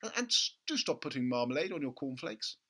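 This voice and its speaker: A man's voice: medium pitch, big pitch swings, fairly quick, bright, forceful tone. A man voicing a sensible, chiding wife and mother in a storybook reading, reasoning and admonishing.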